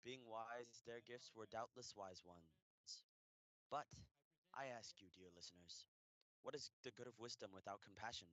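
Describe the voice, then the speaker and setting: A man playing an earnest storyteller who narrates a radio play in a measured, theatrical way. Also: fairly low pitch, a bit bright, low-quality recording. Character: earnest storyteller narrator addressing dear listeners.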